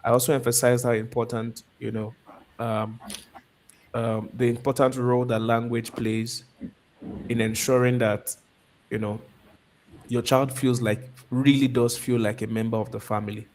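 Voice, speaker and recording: Halting man hosting a live interview. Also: medium pitch, level tone, a little mellow, a little hiss or hum, rough recording. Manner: halting, repeats words and restarts. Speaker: host of a live stream. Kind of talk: interviewing a guest. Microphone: video call.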